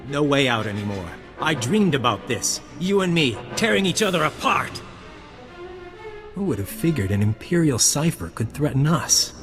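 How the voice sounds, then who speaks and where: An actor playing a cocky, bitter rival spy in a sci-fi game, with a taunting, intimate, dramatic delivery. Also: male voice, medium pitch, animated, moderate pace, few pauses, close to an everyday voice. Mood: bitter, wistful. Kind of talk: scripted sci-fi game acting. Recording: long reverb, clear music behind.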